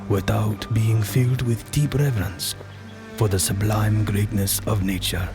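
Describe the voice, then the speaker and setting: A man gives a solemn dramatic reading in a low, unhurried voice. Each phrase is drawn out gravely, and music plays clearly behind him. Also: steady tone.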